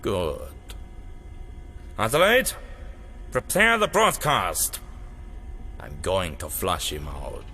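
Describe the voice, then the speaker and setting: A man's voice: low voice, wildly swooping pitch, slow, long pauses, full character voice. A man playing a ruthless, menacing, controlling dictator in an audio drama. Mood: commanding.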